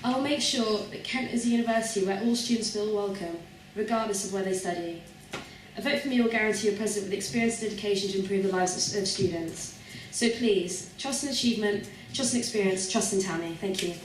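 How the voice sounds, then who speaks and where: A young woman with a mid-pitched voice reads out a prepared student campaign speech. The recording is very echoey and distant, as if caught from across the room. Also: quick pace. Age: young adult. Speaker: student union president seeking re-election. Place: large echoey room at a public hustings. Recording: very echoey, distant mic, faint noise.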